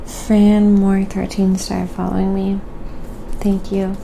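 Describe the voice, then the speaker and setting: A soft-spoken woman chatting casually and quietly as she narrates what she is doing in an ASMR livestream, in an unhurried way. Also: medium pitch, completely flat delivery, poor audio. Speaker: livestreaming craft painter and host. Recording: clear background noise, windy ambience. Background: wind howling.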